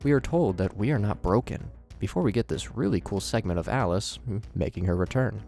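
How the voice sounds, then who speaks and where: A man's voice: lowish voice, lively intonation, a little fast, creaky, warm tone. A man hosting and narrating scripted horror commentary in a theatrical presenter style with wry asides. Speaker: video host and narrator. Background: background music.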